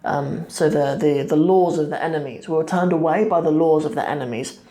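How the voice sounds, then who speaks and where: A young man in his twenties teaching Arabic poetry line by line, thinking aloud with many pauses and restarts, seated at a desk in a small room. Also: on the high side, warm tone. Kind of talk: explaining a poem line by line.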